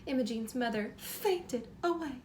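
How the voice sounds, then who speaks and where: A woman reading a children's story aloud like a teacher, pausing to explain simply and ask encouraging questions. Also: sits high, expressive, darkish tone, slight background noise.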